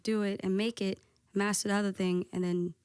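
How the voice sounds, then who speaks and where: A woman DJ guesting on a podcast, talking casually and candidly with a soft voice, peppering her talk with "like" and swearing. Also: medium pitch, flat delivery, a little fast, clean tone, no echo, studio quality. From United States. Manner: candid, lots of "like" and profanity.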